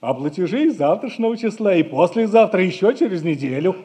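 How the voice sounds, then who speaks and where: An actor playing a sly, obsequious merchant's clerk in a Russian stage comedy, servile yet drily impudent. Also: male voice, sits high, expressive, fairly quick, warm tone, husky. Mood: drily cheeky. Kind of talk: 1960s Russian stage comedy acting for audio.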